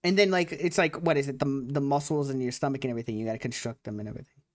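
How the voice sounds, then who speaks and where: A woman's voice: extremely low pitch, racing, darkish tone. A woman hosting a late-night live stream, chatting casually and playfully, laughing often, with a slightly nasal voice. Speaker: streamer host.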